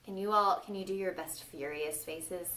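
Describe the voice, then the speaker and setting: A woman's voice: fairly low pitch, normal speed, sharp tone. A woman reading a story aloud to young children, playfully stopping to ask them questions and exclaim. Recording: distant microphone.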